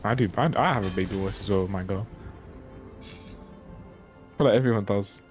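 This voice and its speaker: A man's voice: fairly low pitch, moderate pace. A young man chatting in a laid-back, rambling way with friends during a game. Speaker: gamer on voice chat. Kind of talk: casual gaming banter. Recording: game music over voice chat.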